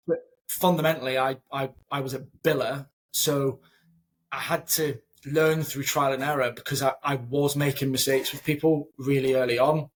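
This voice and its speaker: A man in his thirties from Scotland, a company founder interviewed over a video call, talking earnestly and often repeating words as he thinks. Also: mid-range voice. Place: small home room.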